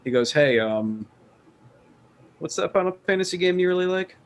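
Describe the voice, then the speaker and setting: A man's voice: sits high, lively intonation, moderate pace. Laid-back man telling chat stories. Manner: laid-back, says "dude", laughs while telling stories. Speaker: podcast co-host. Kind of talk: casual storytelling in chat.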